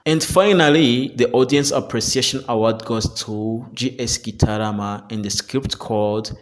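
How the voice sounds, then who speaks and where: A man's voice: mid-range voice, moderate pace. A man reading a scripted news report as its narrator.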